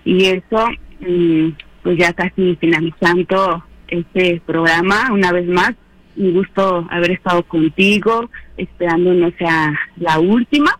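A woman whose first language is Triqui, co-hosting a radio show in casual chat, talking softly and unhurriedly with many fillers. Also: on the low side, fairly even intonation, a little slow, no echo, poor audio. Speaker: radio co-host. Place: radio studio.

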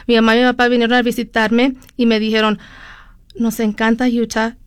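Chatty higher-voiced woman from California. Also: completely flat delivery, a little fast, clear, resonant tone, no room sound, clean studio sound. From California, USA. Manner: chatty, with frequent fillers and switches into English. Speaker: interview guest, lawyer. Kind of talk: conversational storytelling.

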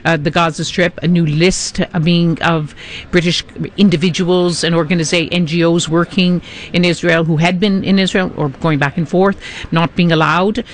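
A man giving live news analysis as a correspondent, measured and fluent, with frequent restarts. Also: on the high side, fairly even intonation, slight background noise.

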